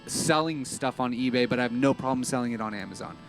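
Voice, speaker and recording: A man's voice: mid-range voice, fast, soft background music. A man co-hosting a livestream, chatting casually about buying and selling things. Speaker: co-host.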